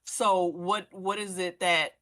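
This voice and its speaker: Woman podcast cast member, slightly low register, informal and conversational, working out thoughts mid-sentence. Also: fairly even intonation, takes it slowly, bright, forceful tone. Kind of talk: casual panel chat.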